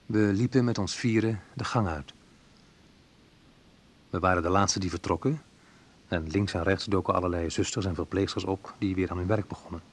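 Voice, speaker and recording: A slightly husky, lowish male voice narrates a radio play as a man looking back on his youth. He is wistful and reflective, telling it in measured phrases with small pauses. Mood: subdued. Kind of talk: literary first-person radio play narration.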